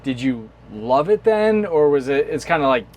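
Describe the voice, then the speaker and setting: A man's voice: a little high, sing-song, a little mellow. A man hosting a podcast interview aboard a small boat, speaking casually and often repeating words as he goes. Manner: friendly, halting, repeats words. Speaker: podcast host.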